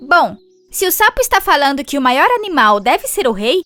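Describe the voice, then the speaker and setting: A woman plays a clever, sassy female cartoon frog. The voice is high and lively, with a cheeky confidence. Soft music sits behind it. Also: moderate pace, full character voice. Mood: sly, confident. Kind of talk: children's cartoon fable acting.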